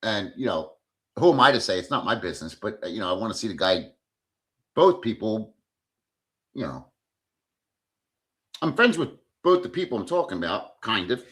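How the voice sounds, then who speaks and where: A man's voice: fairly low pitch, big pitch swings, moderate pace, long pauses, fairly bright tone. A middle-aged man hosting a casual livestream, chatting bluntly and reading out viewer comments, swearing freely as he rambles. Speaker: livestream host.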